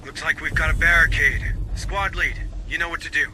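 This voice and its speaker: A man voicing a gruff, commanding marine sergeant in a video game, giving terse, authoritative military orders. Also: on the high side, steady tone, normal speed, bright, forceful tone, a little hiss or hum. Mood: commanding, firm. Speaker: squad leader.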